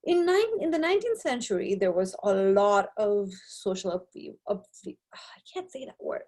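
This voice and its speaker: A woman's voice: mid-range voice, lively intonation, normal speed, darkish tone. A young woman from Nepal, with English as her second language, explaining sociology concepts in a recorded slide lecture and often checking in with "right?". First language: not English. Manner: explanatory, frequent tag "right?". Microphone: webcam on a video lecture.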